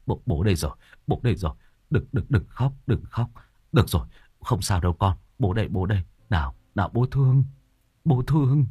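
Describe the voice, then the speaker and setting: A man's voice: on the low side, animated, fairly quick, slightly rough. A man voicing a hot-tempered young village father, who rages and then turns tender. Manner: shouting curses, then tearful tenderness.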